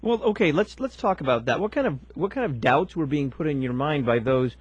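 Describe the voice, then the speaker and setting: A man's voice: on the high side, lively intonation, a little fast, husky, dry recording, muffled recording. Man pressing with inquisitive questions. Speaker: host. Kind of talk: probing interview questions.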